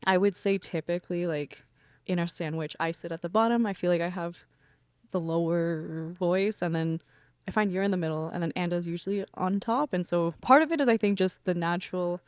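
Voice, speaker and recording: A woman singer in a band, talking relaxed and rambling in a casual interview. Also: fairly low pitch, a little fast, no room sound. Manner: relaxed, rambling with many fillers. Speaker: band member, vocalist.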